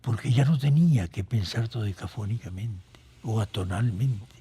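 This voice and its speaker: An older man with a Costa Rican accent, reminiscing reflectively in an interview, halting and pausing mid-phrase. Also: on the low side, dark tone, breathy edge. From Costa Rica. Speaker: composer being interviewed.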